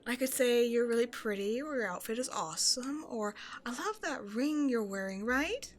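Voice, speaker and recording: A woman acts out a shy young woman in an intimate roleplay audio. Her voice sits a little high. She talks hesitantly and apologetically, nervously overthinking as she rambles to a stranger. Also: lively intonation, normal speed, lightly acted. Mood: awkward, musing. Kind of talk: roleplay audio acting, intimate one-sided conversation.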